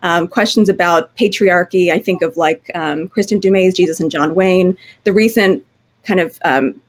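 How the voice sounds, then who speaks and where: A woman's voice: on the low side, normal speed. A woman historian with a scratchy voice giving informal panel remarks, conversational and searching for her words.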